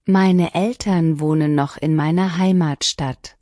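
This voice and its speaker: A woman reading short lesson sentences one at a time, each clearly and deliberately, for learners to repeat. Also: low-pitched, steady tone, dark tone, no room sound, studio quality. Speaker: language lesson reader. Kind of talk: scripted shadowing practice reading.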